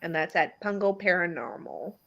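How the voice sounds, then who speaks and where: Lower-voiced woman chatting casually. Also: expressive, moderate pace, vocal fry, fairly bright tone. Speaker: podcast guest, paranormal investigator. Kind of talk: casual chat.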